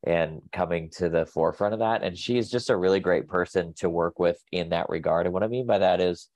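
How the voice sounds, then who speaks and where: A man's voice: low voice, a little fast, darkish tone, no room sound. A man in his thirties with a nasal voice and a cold, rambling with many fillers as a guest on a casual video call. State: sick with a cold. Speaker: guest, pet nutrition company staff. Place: home office.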